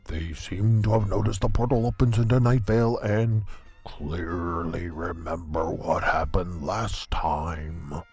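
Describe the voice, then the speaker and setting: An actor playing a deadpan small-town radio host, giving measured, folksy play-by-play of cosmic horror in an audio comedy. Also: male voice, deep voice, husky, faint music behind, full character voice. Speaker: co-host and commentator.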